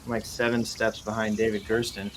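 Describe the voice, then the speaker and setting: A man's voice: lowish voice, level tone, fairly quick. A man hosting a casual, jokey live show in a playful, theatrical, rambling way. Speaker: host. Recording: noisy, with nature sounds behind. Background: forest and nature ambience.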